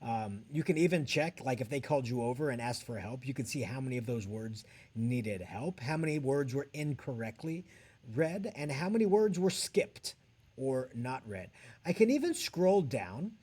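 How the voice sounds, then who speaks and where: Male edtech trainer, medium pitch, explaining on-screen steps in a tutorial. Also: expressive, normal speed, darkish tone, breathy edge.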